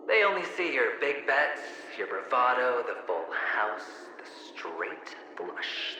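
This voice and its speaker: A mocking young gambler in a game, echoing. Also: male voice, medium pitch, background noise. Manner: mocking, teasing drawl with scornful laughs. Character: smug young male gambler taunting his double. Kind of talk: video game cutscene voice acting.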